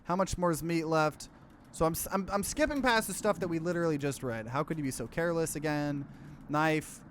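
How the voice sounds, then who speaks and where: A man's voice: a little high, fairly quick, fairly bright tone, a little hiss or hum. A man doing a let's play, reading visual novel narration aloud and dropping in casual, offhand commentary. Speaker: streamer, let's player.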